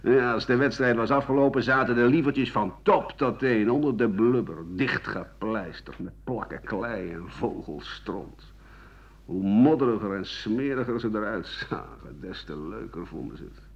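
An actor playing an embittered aging French doctor and war veteran, rambling sardonically through his memories in a radio drama, his voice husky and rough-edged. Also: on the low side, normal speed. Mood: wry, scornful. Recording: dull 1980 radio play recording.

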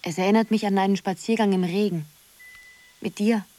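A dreamy young woman muses her way through a surreal radio play, performed by an actress. Her mid-pitched voice is wistful and halting, with faint noise and ambience behind it. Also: fairly even intonation, dark tone, lightly acted. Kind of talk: poetic radio drama monologue.

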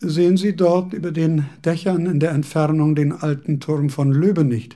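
A man's voice: a little high, little pitch movement, normal speed, mellow, natural voice. An actor playing a courteous, pedantic male scholar in a radio play, with formal, ornate, old-fashioned diction. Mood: courteous, earnest.

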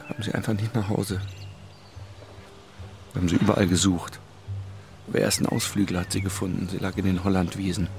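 Radio drama: haunted, bitter cop. Low register, deliberate short phrases, scored with music. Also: male voice, flat delivery, gravelly. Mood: grieving, bitter. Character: grim, embittered policeman haunted by his sister's fate. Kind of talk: German radio drama acting, intimate confession.

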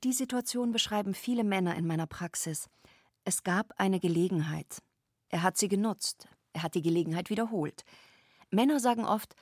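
A woman explaining things as an expert commentator, in a measured way, recorded close up in a dry studio. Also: mid-range voice, a little mellow, dry recording.

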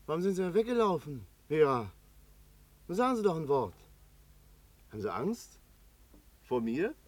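An actor playing a chatty, plain-spoken, good-hearted barber in a colloquial, familiar manner in a 1950s German radio play. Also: male voice, a little high, big pitch swings, normal speed, a little mellow. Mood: concerned, coaxing.

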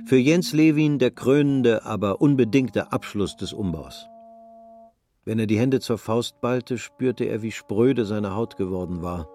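A male narrator reads literary prose for a radio drama. His voice sits on the low side. The delivery is measured and sober. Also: expressive, mellow.

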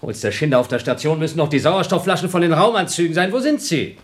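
A man playing an impatient, excitable astronaut trapped on a moon station, in an urgent radio play style. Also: on the high side, quick pace. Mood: agitated.

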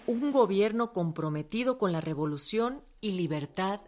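A woman's voice: mid-range voice, lively intonation, moderate pace, a little mellow. A woman delivering scripted narration for a documentary radio drama.

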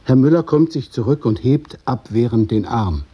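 A man narrating a radio play in the present tense, in a measured, literary storytelling manner. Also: medium pitch, expressive, husky, lo-fi sound. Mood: observing. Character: observing narrator of stage directions.

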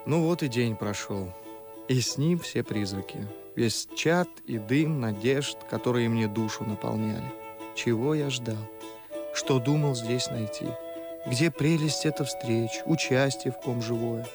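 An actor playing a disillusioned young gentleman in a radio verse comedy, declaiming with bitter, reflective irony. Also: medium pitch, unhurried, lightly acted. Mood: brooding, disillusioned. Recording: music under the voice. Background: music.

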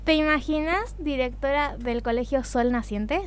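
A woman's voice: sits high, normal speed. An Argentine woman from Córdoba chatting casually in a radio interview. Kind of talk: casual chat. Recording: faint background ambient noise.

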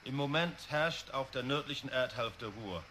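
Actor as sharp, bright male newsreader. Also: medium pitch, moderate pace, close to an everyday voice. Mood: matter-of-fact. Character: crisp male radio newsreader. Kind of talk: 1980s radio drama, news bulletin. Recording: faint background hiss.

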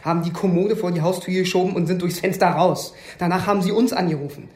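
Man acting a terse small-town cop, sardonic, highish voice, roomy acoustic, radio crime play. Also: fairly even intonation, quick pace, darkish tone, lightly acted. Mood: accusing, sardonic. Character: brusque, accusing small-town policeman. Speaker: police officer.

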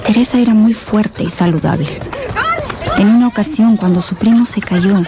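A woman narrator telling a scripted story for a radio novel in a measured, expressive, dramatic way. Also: mid-range voice.

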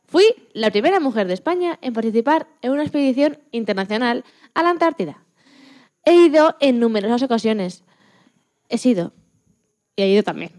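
Woman playing a high-voiced, chatty Spanish scientist. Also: a little fast, long pauses, lightly acted. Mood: proud, chatty. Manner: expressive, chatty, self-correcting. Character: chatty, proud, enthusiastic woman Antarctic scientist. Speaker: interview guest.

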